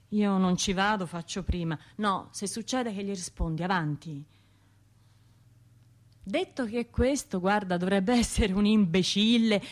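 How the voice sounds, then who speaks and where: Troubled woman survivor in a radio play, halting and unsure, keeps questioning herself, talks like real conversation. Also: medium pitch. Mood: self-mocking. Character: troubled, hesitant woman bombing survivor rehearsing a speech. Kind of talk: radio drama monologue.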